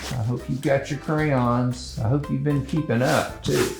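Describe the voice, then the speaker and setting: A man's voice: mid-range voice, fairly even intonation, measured pace, gravelly, echoey room, loud music behind the voice. A man hosting a drawing show, chatting in a self-deprecating way while he draws.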